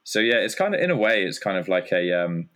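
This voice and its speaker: Deep-voiced man in his thirties, hesitant. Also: very animated, a little fast, a bit bright. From Germany. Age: 30s. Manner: rambling, hesitant with many fillers. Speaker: guest, community founder. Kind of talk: casual interview chat.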